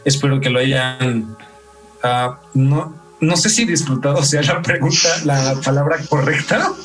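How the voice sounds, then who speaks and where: A man's voice: medium pitch, a little slow, a bit bright, far from the mic, rough recording. A man with a Mexican accent hosting a true-crime podcast in a conversational style. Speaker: podcast host. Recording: off-mic, music behind.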